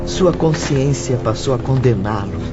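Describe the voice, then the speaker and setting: A man gravely narrating a dramatic audiobook. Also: medium pitch, animated, unhurried. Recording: muffled, music behind.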